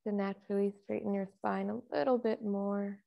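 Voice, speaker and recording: Calm lady doing guided meditation, super slow w long pauses, bit of vocal fry, kinda muffled lo-fi audio. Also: medium pitch. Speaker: meditation teacher. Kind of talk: guided meditation, softly instructive.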